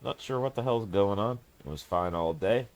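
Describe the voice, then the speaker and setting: A man's voice: on the low side, normal speed, darkish tone. A man with a stuffed-up, congested voice giving rambling, casual commentary as he drives, swearing under his breath now and then. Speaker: truck-sim gameplay commentator.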